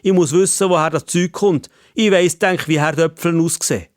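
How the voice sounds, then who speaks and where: A man with a Swiss German accent voicing a curious, stubborn old-fashioned gentleman in a children's story, speaking emphatically. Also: a little high, moderate pace, dry recording, clean studio sound, pitched above the performer's own voice, natural voice. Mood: insistent.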